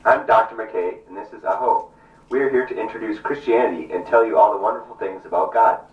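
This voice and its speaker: A man's voice: fairly low pitch, fairly quick, close to an everyday voice. A man playing a kindly, earnest missionary doctor in a puppet show, speaking politely. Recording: echoey distant dull stage recording with music.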